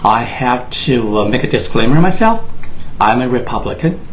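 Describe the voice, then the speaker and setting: Earnest Taiwanese man, halting speech. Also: medium pitch, expressive, slight background noise. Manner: earnest, halting, many fillers and restarts. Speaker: speaker addressing Americans. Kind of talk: unscripted personal address to camera.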